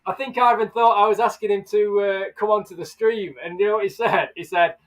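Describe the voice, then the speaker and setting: A man's voice: high-pitched, steady tone, brisk, fairly bright tone, recorded from a distance. A man hosting a casual livestream, chatting and greeting viewers by name. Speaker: livestream host. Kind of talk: casual live chat.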